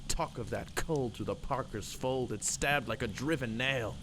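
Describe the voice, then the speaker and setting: Man reciting dramatic verse over loud noise. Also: medium pitch, unhurried. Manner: theatrical, rhythmic, emphatic. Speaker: poem reader. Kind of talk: dramatic narrative poetry recitation. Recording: loud background noise and ambience.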